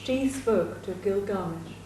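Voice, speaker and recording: A woman's voice: mid-range voice, lively intonation, unhurried, dark tone, far from the mic, a little hiss or hum. Woman narrating from afar in an echoey hall. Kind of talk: dramatic reading of an ancient epic.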